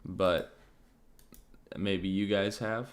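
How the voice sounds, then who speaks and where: A man with a deep, low voice hosts a casual trading stream, thinking out loud with plenty of fillers. He speaks in a slow, easygoing rhythm. His voice carries a slight rasp, and he clears his throat now and then. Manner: relaxed, thinking aloud, many fillers like "like" and "um". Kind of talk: casual live-stream market commentary.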